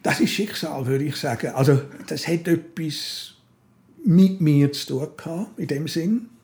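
A man's voice: fairly high pitch, unhurried, a little mellow. An older man with a Swiss German accent, talking in a reflective, philosophical way in casual conversation as an interview guest. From Switzerland. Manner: philosophical, musing.

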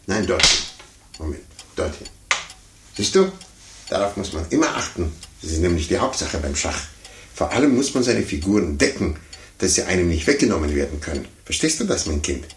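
An actor playing a fussy, condescending older gentleman in a 1950s radio play, muttering to himself and talking down patronizingly. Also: deep voice, sing-song, moderate pace. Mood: flustered, pompous. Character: condescending, fussy, self-important older gentleman chess amateur. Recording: roomy, noisy 1950s radio recording.

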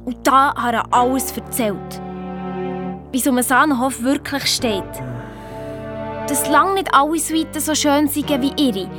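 High-voiced Swiss German girl detective. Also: unhurried, long pauses, music in the background. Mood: earnest. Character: sensible, sceptical young girl detective Fabi. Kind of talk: colloquial first-person storytelling in an audio drama.

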